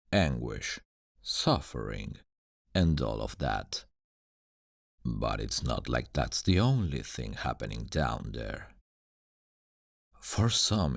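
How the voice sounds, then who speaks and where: An ancient demon guardian for an ASMR roleplay. His voice is extremely deep and crackles with gravel. He is suave, sardonic and condescending, and his intonation rises and dips theatrically as he teases. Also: no room sound, studio quality, exaggerated voice. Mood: teasing, smug. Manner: slow, deliberate, dramatic, condescending toward humans.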